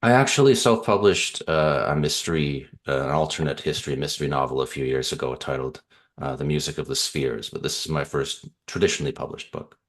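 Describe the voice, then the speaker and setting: A man from Canada with an extremely low, deep voice talks through his answers in a relaxed interview. He thinks aloud, circling back with fillers and small restarts as he explains himself. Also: fairly quick. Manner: thoughtful, rambling with fillers and restarts. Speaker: author guest.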